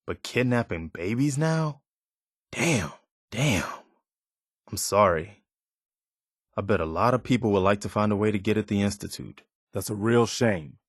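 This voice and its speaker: Casting: male actor as steadfast, hopeful militia officer for a game; lowish voice, sincere measured delivery, close dry studio sound. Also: no room sound, lightly acted. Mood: shocked, grave, then earnest, hopeful. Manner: sincere, measured, plain-spoken. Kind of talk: video game dialogue acting.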